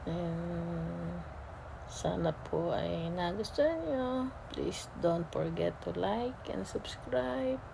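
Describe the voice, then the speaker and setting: A woman's voice: lowish voice, steady tone, very slow, creaky, background noise. A Filipino woman narrating a casual vlog, speaking politely and informally and mixing in English phrases. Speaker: vlogger.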